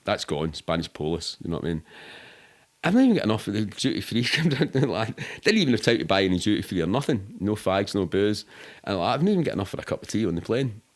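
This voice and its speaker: A man's voice: on the low side, sing-song, fast talker. A middle-aged man with a Glasgow-area Scottish accent telling anecdotes casually as a podcast guest, sweary and colloquial, acting out what people said. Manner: sweary, colloquial, acts out quoted speech. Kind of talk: animated anecdotal storytelling, casual.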